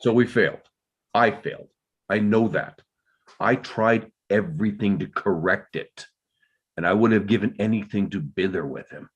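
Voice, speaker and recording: Man acting husky, defensive, guilt-ridden father. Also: fairly low pitch, moderate pace, muffled recording. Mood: defensive, remorseful. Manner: insistent, defensive, terse. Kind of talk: dramatic script table read.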